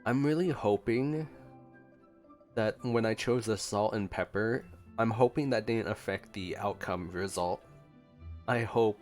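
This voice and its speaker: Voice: medium pitch, measured pace, darkish tone, faint music behind. A gaming streamer giving casual, reactive gameplay commentary.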